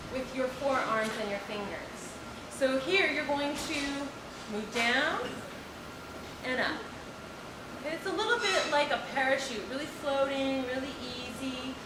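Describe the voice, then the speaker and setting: A woman giving a lively presentation on posture and breathing to a live audience in a meeting room, guiding them through movements. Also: high-pitched, very noisy. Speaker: posture and breathing teacher giving a workplace talk.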